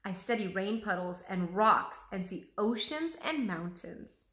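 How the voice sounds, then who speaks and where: Leonardo, a woman voicing an animated, eager male artist. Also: medium pitch, measured pace. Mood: excited. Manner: exclamatory, lecturing enthusiasm. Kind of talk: children's storybook read-aloud. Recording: roomy, echoing room sound.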